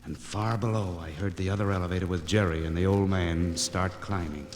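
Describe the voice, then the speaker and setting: An actor playing a grim, wry newspaper reporter in 1940s radio noir style, with terse, clipped, dramatic phrasing. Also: male voice, sits low, fairly even intonation, brisk, a little mellow, lightly acted. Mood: urgent, tense. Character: hard-boiled male newspaper reporter, grim, wry and determined. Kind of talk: 1940s radio noir acting, first-person narration. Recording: crackly, noisy 1940s radio transcription disc.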